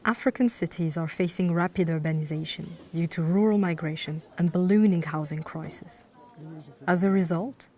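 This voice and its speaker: A woman giving a measured, earnest explanation of a workshop's purpose. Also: fairly low pitch, darkish tone, a little hiss or hum. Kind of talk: scripted explanation.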